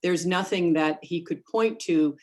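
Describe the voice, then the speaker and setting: A woman attorney making a formal argument for her client at a remote hearing, measured and a little hesitant. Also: on the low side, darkish tone. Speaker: attorney for the applicant.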